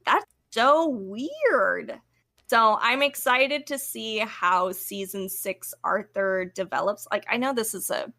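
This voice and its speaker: A woman's voice: medium pitch, bright, forceful tone. A woman co-hosting a podcast in casual, informal fan chat. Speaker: podcast co-host.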